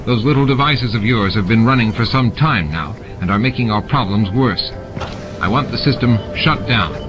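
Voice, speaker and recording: A man's voice: mid-range voice, normal speed, highs cut off, natural voice. A man voicing a grim, suspicious alien station constable, stern and dry, in a dramatized audiobook. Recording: music and sound effects behind the voice.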